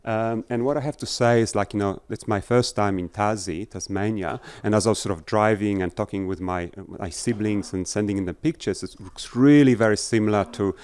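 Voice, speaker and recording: A middle-aged man from Poland, a researcher speaking to an audience, halting with many fillers and restarts. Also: lowish voice, a little mellow, smooth. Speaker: agricultural researcher. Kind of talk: talk to an audience. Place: festival tent.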